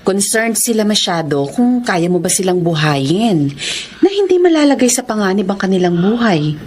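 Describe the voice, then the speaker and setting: An actress playing a helpful, direct social worker in a Filipino melodrama, with a Filipino accent. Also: female voice, mid-range voice, sing-song, moderate pace, darkish tone, slight background noise, natural voice. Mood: serious. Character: helpful, frank female government social worker. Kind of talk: Filipino radio-style melodrama acting.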